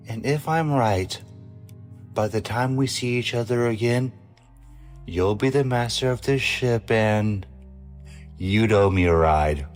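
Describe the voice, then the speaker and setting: Radio drama con-man hacker played by a man, deepish voice, cocky and persuasive, faint music behind. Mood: frank, bargaining. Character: cool, cocky male hacker and hustler.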